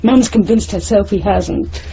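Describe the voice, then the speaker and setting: An actress playing a fretful, sharp-tongued young woman with a British accent, frank and exasperated, in a naturalistic radio drama. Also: medium pitch, a little slow, slightly rough. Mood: bitter, frank. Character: fretful, sharp-tongued grown daughter in a troubled family. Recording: muffled 1970s radio drama, faint noise.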